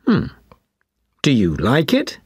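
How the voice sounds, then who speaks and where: Low husky woman asking slow questions. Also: huge pitch swings, a little mellow, dry recording, studio quality. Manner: slow, clearly spaced questions. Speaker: speaking test examiner. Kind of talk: scripted exam interview.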